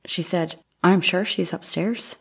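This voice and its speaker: A nineteenth-century maidservant acted by a woman testifies in an audio drama. Her voice is somewhat low and husky, and she answers simply and respectfully, in a matter-of-fact way. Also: fairly even intonation, measured pace, heavily acted. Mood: plain, matter-of-fact. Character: plain-spoken, deferential 19th-century housemaid testifying as witness. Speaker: actor playing a trial witness. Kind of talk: audio theatre courtroom reenactment.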